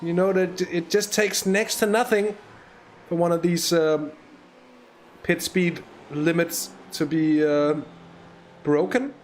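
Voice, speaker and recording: A man calls a sim race live into a USB microphone. His voice sits a little high for a man, and he talks in a loose, chatty way full of ums and false starts. Faint background hiss lies under the voice. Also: fairly even intonation, takes it slowly, pauses often. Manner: chatty, hesitant, frequent fillers and restarts. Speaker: sim racing commentator.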